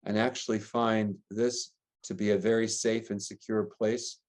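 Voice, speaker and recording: An American man, a middle-aged priest, giving earnest, gentle interview answers and listing ideas in long chains. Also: on the low side, little pitch movement, measured pace. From United States. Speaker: priest and rector, interview guest.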